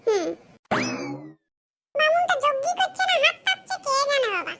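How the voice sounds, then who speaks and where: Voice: very high-pitched, big pitch swings, moderate pace, pushed and bright, faint music behind, exaggerated voice. A performer voicing a cheeky, grumbling village boy with a rural West Bengal accent in a comedy cartoon. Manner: brash, grumbling colloquial banter. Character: cheeky, mischievous poor village boys with squeaky voices.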